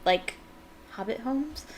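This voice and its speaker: A woman's voice: high voice, slight background noise. A young adult woman reads a novel aloud for her fan channel. She keeps an easy, chatty tone and drops into giggly, enthusiastic asides between passages. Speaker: book reader and fan channel host.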